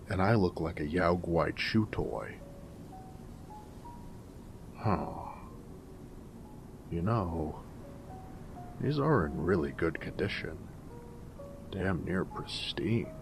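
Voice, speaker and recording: A man playing a snarky, easygoing ghoul drifter with a gravelly rasp, chatting wryly in slangy drawl in a post-apocalyptic roleplay audio. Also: deep voice, heavily acted. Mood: let-down, teasing, then scheming, bargaining. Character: snarky, easygoing male ghoul, ex-bush pilot wasteland drifter. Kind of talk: character roleplay audio monologue in a post-apocalyptic game setting.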